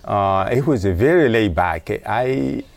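Halting man with a gravelly voice. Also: lowish voice, expressive, no room sound. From Democratic Republic of Congo. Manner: halting, many filler pauses. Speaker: guest, environmentalist. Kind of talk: casual interview conversation.

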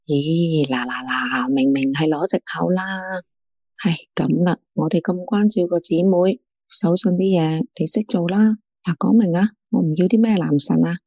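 An actress playing a fussy, nagging best friend in a Cantonese radio drama, with a Hong Kong accent. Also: female voice, fairly low pitch, fairly even intonation, moderate pace, mellow, no echo. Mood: mock indignant. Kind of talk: radio drama acting, phone conversation.

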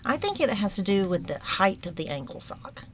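A woman's voice: mid-range voice, very animated, normal speed, slight background noise. A middle-aged woman from Alabama, chatting casually and joking on a podcast as her daughter's guest. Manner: joking, teasing. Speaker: guest, host's mother.

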